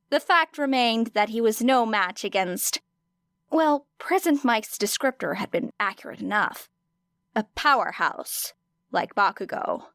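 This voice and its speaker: A woman reading scripted fiction as an audiobook narrator, expressive, with deliberate emphasis on key phrases. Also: mid-range voice, no room sound, clean studio sound.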